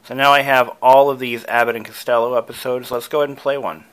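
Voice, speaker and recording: A man's voice: medium pitch, flat delivery, fast talker, fairly bright tone, low-quality recording. A man presenting a hobby tutorial, casually talking through each step with enthusiasm.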